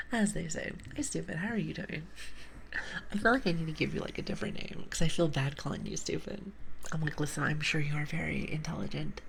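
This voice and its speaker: Brief: woman ASMR streamer with an accent, low gravelly whisper, teasing, faint background hiss. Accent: non-native English. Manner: soft whispering, playful teasing. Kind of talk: ASMR live stream chat. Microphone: sensitive ASMR microphone with headphones.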